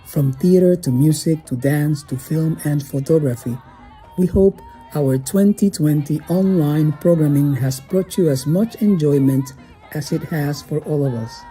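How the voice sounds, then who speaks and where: Woman host, deep mellow voice, polished bilingual welcome over light music. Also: unhurried, slightly rough. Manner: warm formal welcome, switching between Spanish and English. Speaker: theater company host. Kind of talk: scripted announcement.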